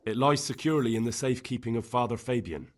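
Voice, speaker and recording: An actor playing an earnest young man in a video game, calmly reassuring people as he brings help. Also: medium pitch, quick pace, close to an everyday voice. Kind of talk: dramatic video game dialogue.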